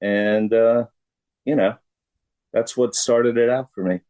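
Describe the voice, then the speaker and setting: A man's voice: medium pitch, steady tone, takes it slowly, darkish tone. A middle-aged man answering questions as a guest in a casual interview.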